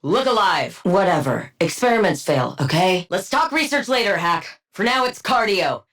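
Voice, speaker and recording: Woman playing brainy supervillain scientist, animated pitch. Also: normal speed, natural voice. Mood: urgent, forceful. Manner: precise, nerdy, academic phrasing, sardonic. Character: brainy woman scientist supervillain in freeze suit, dry, exacting. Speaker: video game voice actress. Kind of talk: video game combat barks and banter.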